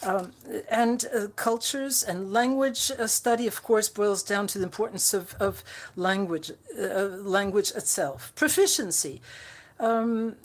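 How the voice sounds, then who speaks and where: A woman interpreting a conference speech live, halting often with fillers and restarts. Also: medium pitch. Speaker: simultaneous interpreter.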